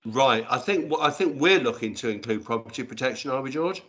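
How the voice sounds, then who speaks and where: A man chairing an online roundtable discussion in a courteous, brisk way, calling on people and keeping things moving. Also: medium pitch, lively intonation, a bit bright, muffled recording. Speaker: meeting chair.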